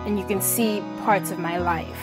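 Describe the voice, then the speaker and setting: A woman's voice: mid-range voice, moderate pace. A young woman from Malawi, a poet, reading her work with passion and talking candidly in an interview. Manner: impassioned in reading, relaxed and candid in talk. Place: indoor room. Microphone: lapel mic. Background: background music.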